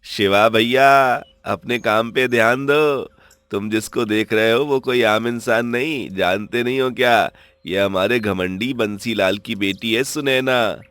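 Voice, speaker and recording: A man's voice: mid-range voice, unhurried, fairly bright tone, no room sound, close to an everyday voice. A man voicing a teasing, cautioning young village pal in a Hindi cartoon story. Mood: warning. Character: Sundar, teasing, cautioning village friend of the blacksmith.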